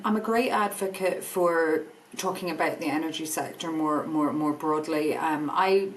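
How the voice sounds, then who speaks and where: Scottish lady on a podcast, pretty low voice, keen, restarts a lot. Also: a little hiss or hum, low-quality recording. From Scotland. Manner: earnest, enthusiastic, repeats words while thinking. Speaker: guest, energy business director. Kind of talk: podcast interview.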